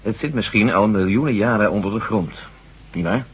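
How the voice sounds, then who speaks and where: An actor playing a determined army general in a radio drama, assertive and reasonable, probing with questions. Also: male voice, on the low side, normal speed, a little hiss or hum, natural voice. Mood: speculating. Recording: dull lo-fi old radio recording.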